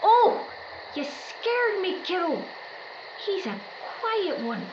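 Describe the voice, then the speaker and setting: A woman's voice: extremely high pitch, big pitch swings, slow, full character voice. A woman playing a breezy, motherly, folksy forager in an audio drama. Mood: breezy, reassuring. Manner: folksy, breezy, matter-of-fact. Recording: muffled, noisy night-time outdoor scene.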